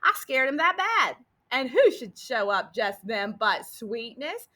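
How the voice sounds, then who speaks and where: Woman putting on a folksy, high-pitched, boastful cowboy sheriff. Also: big pitch swings, slow, pushed and bright, full character voice. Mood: excited. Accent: American. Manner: drawling Old West dialect, exaggerated storytelling. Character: folksy, boastful, dim-witted cowboy sheriff telling his tale.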